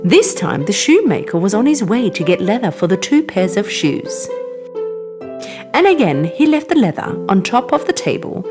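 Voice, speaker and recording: A woman reading a scripted bedtime story for children in an expressive, animated storytelling manner. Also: medium pitch, normal speed. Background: music.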